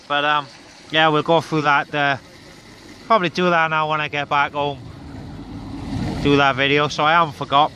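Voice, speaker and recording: A man chatting casually over CB radio from a parked car on open moorland, relaxed with lots of fillers. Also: a little high, normal speed, pauses often, slight background noise. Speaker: CB radio operator and vlogger. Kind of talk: casual on-air radio chat.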